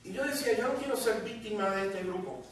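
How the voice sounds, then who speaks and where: Man, Puerto Rican accent, preacher, storytelling sermon, high voice, distant mic. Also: fairly quick, fairly bright tone, slight background noise. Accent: Puerto Rican Spanish. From Puerto Rico. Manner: storytelling, acting out quoted voices. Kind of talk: sermon with personal testimony. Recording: echoey hall, distant mic.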